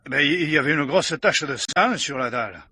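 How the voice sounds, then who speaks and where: Flustered cemetery caretaker. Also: male voice, fairly high pitch, expressive, fairly quick, bright, forceful tone, breathy edge, no room sound, studio quality, heavily acted. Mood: chatty, shaken. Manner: flustered, stammering, chatty, mangles learned words. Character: excitable, rambling, folksy male cemetery caretaker. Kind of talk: French radio mystery play acting.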